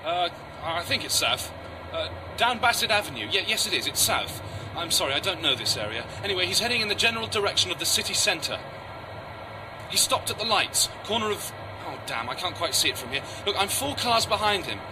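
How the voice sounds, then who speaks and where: An actor playing a flustered officer stammering a radio report while tailing a suspect in a radio crime drama. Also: male voice, sits high, sing-song, a little fast. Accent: British English. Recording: loud car and street noise.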